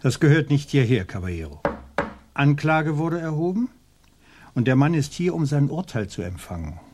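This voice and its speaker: An actor playing a stern, self-righteous colonial judge in a radio play, formally pronouncing judgment in a husky voice. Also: male voice, a little high, big pitch swings, normal speed, background noise. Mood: stern, cold.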